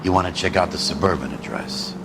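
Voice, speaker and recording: Low-voiced, jaded veteran detective. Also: male voice, expressive, fast talker, vocal fry, noisy background. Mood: grudging, gruff. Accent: American. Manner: sardonic, world-weary, blunt. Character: jaded, grumpy veteran 1940s arson detective, male. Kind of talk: cinematic video game acting. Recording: music behind the voice.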